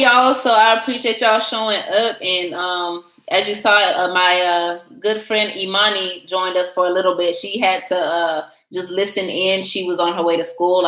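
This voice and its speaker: Woman host, low-ish voice, relaxed call-style chat, echoey room. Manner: reads passages aloud, asks questions, relaxed. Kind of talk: casual group discussion.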